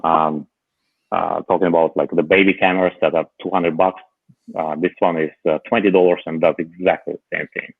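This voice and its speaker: A man's voice: sits low, flat delivery, brisk, creaky, breathy edge, no room sound. A man on a panel call chatting casually about home gadgets, hesitant, with many fillers and dry humor. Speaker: panel guest. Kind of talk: casual tech chat on a call. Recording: tinny phone-like line.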